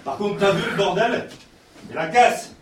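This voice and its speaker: An actor playing a wry, cautious police partner in naturalistic audio fiction, with dry, deadpan teasing. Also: male voice, high voice, moderate pace, natural voice. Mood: concerned. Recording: roomy echo, clear background noise.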